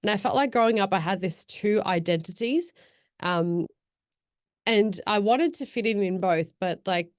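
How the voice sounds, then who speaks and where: A woman's voice: mid-range voice, normal speed, dry recording. Young woman, podcast guest, thoughtful, rambling, many fillers, dry sound. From Afghan, born in Pakistan, raised in Australia. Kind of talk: casual reflective chat.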